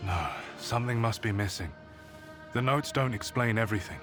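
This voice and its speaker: An actor playing a grave, curious gentleman investigator in a video game, musing in a measured, formal way. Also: fairly low pitch, lively intonation, natural voice. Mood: grave, intrigued. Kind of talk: video game cutscene acting. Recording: music behind the voice.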